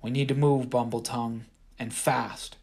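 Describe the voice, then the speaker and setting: A man doing a tiny loudmouthed sap doll girl. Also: medium pitch, flat delivery, moderate pace, vocal fry, slightly rough. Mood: urgent. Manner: loud, boastful trash talk.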